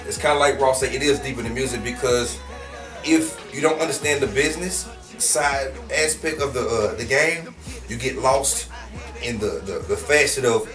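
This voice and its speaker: A man's voice: mid-range voice, distant mic. Houston rapper guy chatting loose, lots of um and you know, echoey room, music going. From Houston, Texas. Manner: laid-back, slangy, with many fillers. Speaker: guest rapper. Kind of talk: casual interview.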